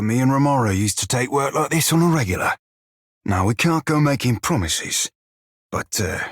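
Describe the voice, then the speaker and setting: Game role: roguish furry sailor, husky growl, bawdy and sardonic, mid pitch, close dry sound. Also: male voice, fairly bright tone, no room sound. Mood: amused. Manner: salty, colloquial pirate talk, wry and crude. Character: roguish, bawdy, sardonic blue-furred pirate sailor creature. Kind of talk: video game character dialogue and barks.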